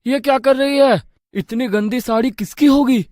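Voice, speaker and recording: A man playing an excitable, self-assured young villager in an acted horror-comedy story. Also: extremely high pitch, normal speed, no echo, clean studio sound. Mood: amazed.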